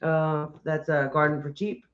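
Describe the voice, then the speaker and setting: A woman's voice: low voice, little pitch movement, a little slow. A woman co-hosting a homestead livestream, chatting casually and rambling through restarts. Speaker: homestead channel co-host. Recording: roomy, dull livestream audio.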